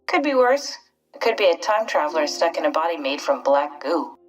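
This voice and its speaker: A pragmatic scientist in a video game, reserved and reflective. Her delivery is measured and matter-of-fact. The voice sounds slightly distant, with music playing underneath. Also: low-pitched, very animated. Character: pragmatic, reserved woman scientist, now a digital consciousness. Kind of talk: naturalistic video game acting.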